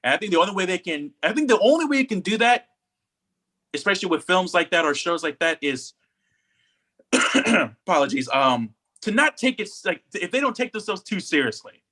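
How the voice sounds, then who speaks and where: A man with a bright, forceful voice pitched a bit high, chatting as a podcast co-host. He talks in an opinionated, rambling way and often circles back to repeat a phrase. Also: sing-song, brisk. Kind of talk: casual chat.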